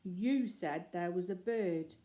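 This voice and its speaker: A woman's voice: fairly low pitch, slow, warm tone. A woman voicing a polite, earnest small male bear in a storybook reading. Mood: stubborn.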